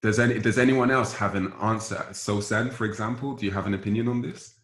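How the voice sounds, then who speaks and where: Male discussion host, very low voice, halting and probing, casual, reverberant pickup. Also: fairly bright tone. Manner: halting, probing questioner who rephrases as they go. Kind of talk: casual group discussion moderating. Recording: roomy, echoing voice pickup.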